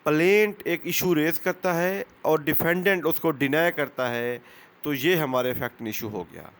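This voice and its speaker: A man with a Pakistani accent, a lawyer presenting an explanatory lesson in a deliberate, didactic manner, repeating key phrases. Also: fairly high pitch, a bit bright, clear, resonant tone. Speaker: lawyer giving law lessons as channel host. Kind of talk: explanatory lecture.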